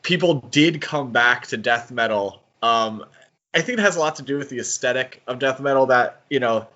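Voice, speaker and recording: A man's voice: medium pitch, fairly bright tone, muffled recording. A man co-hosting a podcast in casual discussion, talking in a hesitant, rambling way and correcting himself as he goes. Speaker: podcast co-host.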